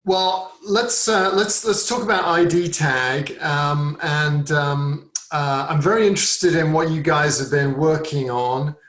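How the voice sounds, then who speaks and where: A man's voice: fairly high pitch, a little slow, few pauses, a bit bright. A man hosting a tech podcast, welcoming guests and asking questions in an upbeat manner. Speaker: podcast host. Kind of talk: podcast interview.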